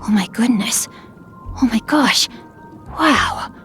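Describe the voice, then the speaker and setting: A woman's voice: a little high, animated, slow, a bit bright, in a whisper, faint music behind, exaggerated voice. A woman voicing a bookish, courteous and playful teenage boy martial artist for a video game, with formal, old-fashioned and eloquent phrasing. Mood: playful, pleased. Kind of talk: video game character voice lines.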